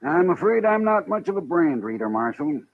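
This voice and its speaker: A man's voice: on the high side, very animated, normal speed. An American man acting a genial, respectable town banker in a radio Western, polite and genteel. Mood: helpful, curious. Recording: tinny, hissy old radio recording.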